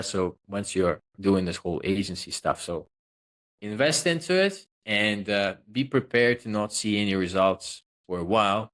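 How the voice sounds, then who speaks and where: Man from Russia, fairly low voice, casual interview guest, joking, self-promoting, lots of fillers. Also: fairly bright tone. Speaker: guest, social selling consultant.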